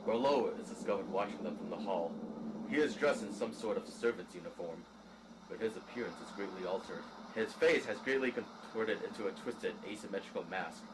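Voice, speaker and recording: A man's voice: lowish voice, fairly quick. A man reading scripted narration of stage directions for an audio drama. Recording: clearly noisy background.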